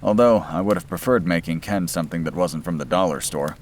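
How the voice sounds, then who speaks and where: A man's voice: low voice, fairly quick. A man reading a scripted bedtime story as its narrator. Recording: clear background noise and ambience.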